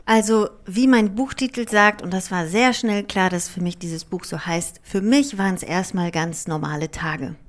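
A woman in her thirties talks openly about her life as a guest in an interview. She was born in Germany, grew up partly in Greece, and her first language is Greek. She speaks in a reflective, unhurried way, with plenty of small fillers and restarts. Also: mid-range voice. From Germany, raised partly in Greece. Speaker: author and performer, guest. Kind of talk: relaxed conversational interview.

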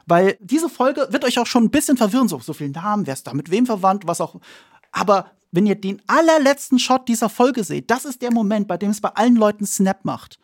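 A man appearing as an expert guest, telling stories eagerly and repeating words when excited. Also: high voice, fairly quick. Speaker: podcast guest, series expert. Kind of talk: enthusiastic storytelling.

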